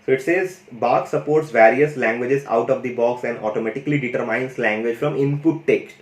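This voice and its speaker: A man's voice: medium pitch, fairly quick. Man explaining tech in a roomy echo. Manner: enthusiastic, loose, full of "you know" and "guys". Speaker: tech YouTuber demonstrating an AI audio tool. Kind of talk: casual screen-share tutorial.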